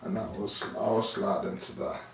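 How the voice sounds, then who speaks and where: A man's voice: fairly low pitch, fairly even intonation, normal speed, creaky. A man giving a casual hands-on demonstration, thinking aloud in a rambling, self-deprecating way. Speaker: amateur DIY video maker. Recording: echoey, distant mic with hiss.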